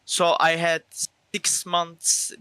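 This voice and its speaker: Teenage male gamer, Turkish accent, non-native English, bright and clear, self-assured interviewee. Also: fairly high pitch, completely flat delivery, drawn-out words, no echo. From Turkey. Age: teens. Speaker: esports player being interviewed. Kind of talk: casual interview answers.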